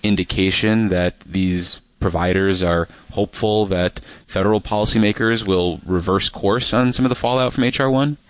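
Guy hosting a radio chat, super low voice, dry close sound, asks calm explainer questions. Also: moderate pace, hardly any pauses, dry recording. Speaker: radio host. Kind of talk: public radio interview.

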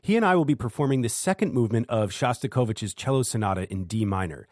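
A man hosting a classical music radio show and playing piano, speaking in a measured, encouraging way. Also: mid-range voice, lively intonation, darkish tone, no room sound. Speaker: radio show host and pianist. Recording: dry studio sound.

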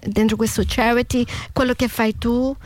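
A woman's voice: on the high side, flat delivery, a little fast, no room sound. Bubbly woman radio host. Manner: warm, switches between Italian and English, laughs often. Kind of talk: bilingual community radio chat.

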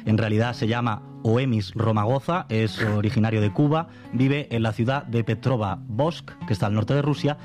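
Spanish man reporting over clear music. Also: on the low side, steady tone, moderate pace, a little mellow. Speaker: radio international news reporter. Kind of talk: conversational radio news storytelling.

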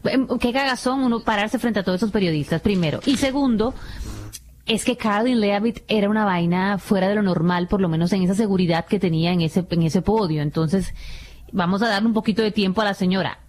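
A woman's voice: mid-range voice, a little fast, a little mellow. Woman chatting frankly over noise. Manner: informal, frank, drops in bits of English. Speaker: radio show panelist. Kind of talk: casual radio chat.

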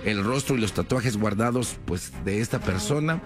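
A man's voice: lowish voice, lively intonation, normal speed, music in the background. A man telling a true crime story in a casual, conversational way, with many fillers and tag questions.

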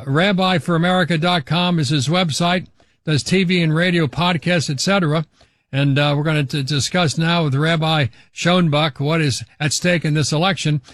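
An older man hosting a talk radio show, giving opinionated commentary in a halting way with restarts. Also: fairly high pitch, fairly even intonation, a bit bright, no echo, clean studio sound. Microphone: studio microphone.

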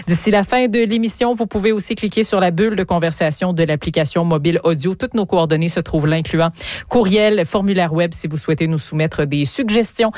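A woman's voice: lowish voice, darkish tone, dry recording. A woman with a Quebec French accent hosting live radio, presenting and interviewing in a studio. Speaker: radio host.

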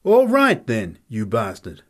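A man's voice: fairly low pitch, fairly even intonation, unhurried, husky. A man voicing a belligerent, punch-drunk little former boxing champion in a story reading, aggressive and taunting as he swears. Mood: menacing, taunting.

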